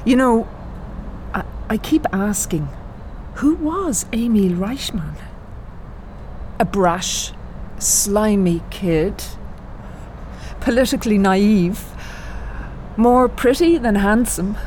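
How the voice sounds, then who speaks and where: An actress playing a lovelorn, haunted middle-aged psychologist in a radio drama, halting and confessional. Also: female voice, mid-range voice, expressive, darkish tone, slight background noise. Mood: haunted, yearning.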